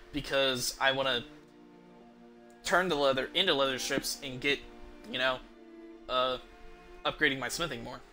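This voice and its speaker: A man's voice: medium pitch, sharp tone. A man casually commentating on his video game as a streamer, rambling and thinking aloud with offhand swearing.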